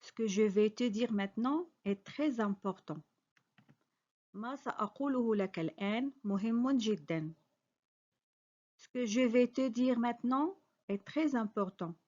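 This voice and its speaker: High-voiced teacher woman drilling phrases. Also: normal speed, darkish tone, highs cut off. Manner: careful, clearly spoken model sentences, each repeated. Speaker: French teacher. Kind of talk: slow repeated phrase drills with quick translations.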